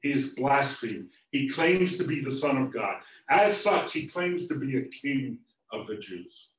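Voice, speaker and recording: Man playing chief priests, angry, accusing, loud, insistent, echoey room, distant mic. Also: medium pitch, expressive, unhurried, pitched above the performer's own voice, lightly acted. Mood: indignant.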